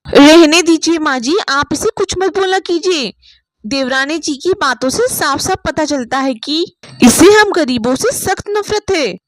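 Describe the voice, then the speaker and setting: A woman's voice: sits high, expressive, a little slow, a little hiss or hum. A woman voicing a meek, hurt, poor elder daughter-in-law with a plaintive manner in a family story.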